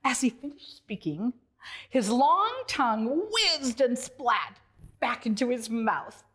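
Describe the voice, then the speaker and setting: A woman reading a children's book aloud as its author, in expressive narration that speaks directly to young listeners. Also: on the high side, slow.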